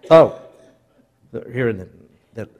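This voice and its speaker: A man's voice: mid-range voice, expressive, unhurried, darkish tone, muffled recording. A middle-aged man, a newspaper columnist, chatting and rambling as he takes questions from an audience, laughing often. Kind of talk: casual Q&A talk with audience.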